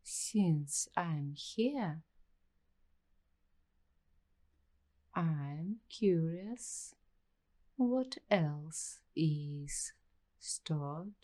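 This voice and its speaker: A woman playing a nervous, curious young waitress in an ASMR roleplay, speaking softly and breathily in short, halting phrases. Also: on the low side. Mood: suspicious, curious. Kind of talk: ASMR roleplay, slow scripted monologue.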